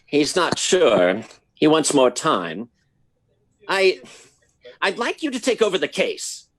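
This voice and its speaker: A man's voice: on the high side, wildly swooping pitch, slow, a bit bright, exaggerated voice. An actor playing a prim, wealthy old man in a radio play, with formal, fussy, hesitant phrasing. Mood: fussy, concerned. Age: older.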